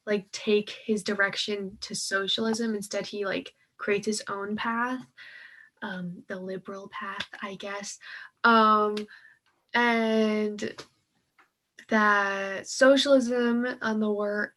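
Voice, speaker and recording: A woman's voice: medium pitch, steady tone, slow pace, a bit bright. A young woman co-hosting a casual book chat, speaking informally and peppering her sentences with "like". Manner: informal, heavy use of "like". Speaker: co-host of a book read-along series.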